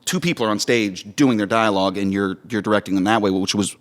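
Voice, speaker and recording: A man's voice: lowish voice, fast. A man talking casually and reflectively as a director, explaining his process in a conversational way. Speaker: director with a theater background. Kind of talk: casual behind-the-scenes production chat.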